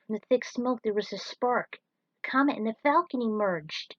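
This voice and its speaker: A woman's voice: a little high, lively intonation, moderate pace, a bit bright, dry recording. A woman reading an action story aloud as narrator, in a dramatic scripted style. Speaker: narrator reading own fan fiction. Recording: dull, lo-fi close recording.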